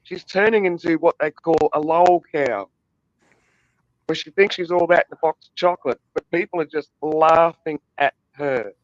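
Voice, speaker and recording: A fairly high-voiced man vents at length, indignant and quick to swear. His audio is muffled and lo-fi, with a close, dry sound and no room around it. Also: no room sound. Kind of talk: heated casual chat.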